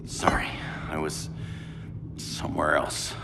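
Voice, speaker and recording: An actor playing a cocky, wisecracking male superhero in a video game, with a dry manner and a gravelly voice. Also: takes it slowly, in a whisper, lightly acted. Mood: guarded, determined. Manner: dry, quipping. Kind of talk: video game cutscene acting. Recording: loud music under the voice. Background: dramatic music.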